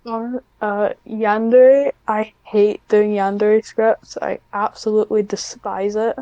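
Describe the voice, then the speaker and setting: A woman's voice: medium pitch, level tone, slow, mellow, clean tone, no room sound, dull audio. A woman chatting casually as an interview guest, speaking in a hesitant, self-conscious way with frequent restarts. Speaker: podcast guest, scriptwriter.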